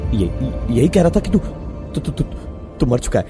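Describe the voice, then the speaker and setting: Casting: male narrator as troubled young male athlete in horror audio story. Raised pitch, fearful, halting and earnest, with music behind. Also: sing-song, close to an everyday voice.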